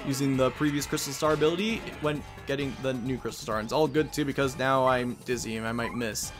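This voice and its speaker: A man's voice: mid-range voice, fairly even intonation, fast. A man giving casual gameplay commentary as the host of a let's play. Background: game music.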